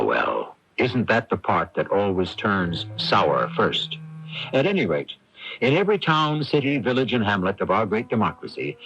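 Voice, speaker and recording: A man as host and narrator of a radio drama, introducing the tale in a measured, wry, theatrical way. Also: on the low side, a bit bright, slightly rough, full character voice. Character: urbane, wry male storyteller introducing a tale. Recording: dull, noisy old radio broadcast.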